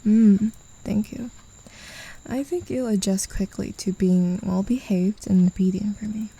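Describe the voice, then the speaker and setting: A possessive demon, played by a woman, toys with her claimed pet in a soft, condescending ASMR roleplay. The voice is very dark and gravelly, with a husky edge. She teases and drops into low whispers. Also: fairly even intonation, a little slow. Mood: taunting.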